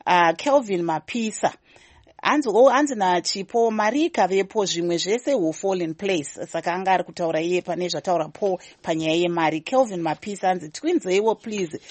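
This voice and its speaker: Low-voiced Zimbabwean woman radio host. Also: a little fast, darkish tone, dry recording. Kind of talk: live talk show, reading listener messages, switching between Shona and English.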